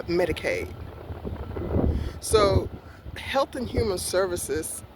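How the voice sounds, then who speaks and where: A woman's voice: on the low side, background noise. A woman in her sixties telling her own story in a casual, rambling way, with many fillers and self-corrections, speaking outdoors in a park. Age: 60s. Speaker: vlogger.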